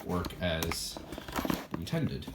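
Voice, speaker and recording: A man with a deep voice talks through a casual, unscripted demonstration. He rambles and thinks aloud as he handles his gear. The room is echoey, and loud noise runs behind his voice. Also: big pitch swings, very slow. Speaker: hobbyist showing a weather radio collection. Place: indoor room, recorded while handling radios.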